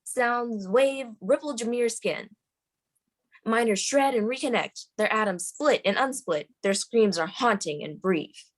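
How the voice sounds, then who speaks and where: A woman's voice: fairly high pitch, lively intonation, measured pace. A woman reading scene directions as the narrator at a screenplay table read.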